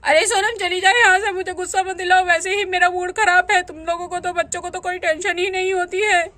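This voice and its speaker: A woman's voice: very high-pitched, fairly even intonation, brisk, sharp tone. Woman voicing a tearful, fretful village mother. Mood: irritable.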